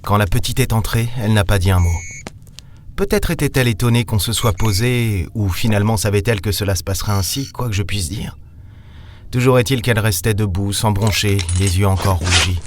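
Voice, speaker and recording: An actor playing a weary, colloquial space captain who narrates and talks in a science-fiction audio drama. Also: male voice, on the low side, brisk, a little hiss or hum, natural voice. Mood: grave. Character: world-weary male space captain, last human in the galaxy. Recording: music under the voice.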